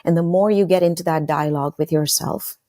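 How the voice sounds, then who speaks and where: A woman's voice: deep voice, brisk, dark tone, no room sound, studio quality. A woman in her forties from Kenya, a podcast guest telling her personal story in a reflective, earnest way with frequent fillers and restarts.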